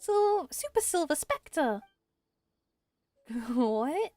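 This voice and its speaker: A woman's voice: high voice, sing-song, drawn-out words, dark tone, dry recording, soft background music. A woman reading video game dialogue aloud in character voices, playful and stumbling over lines at times. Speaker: gamer voicing quest dialogue as amateur voice acting.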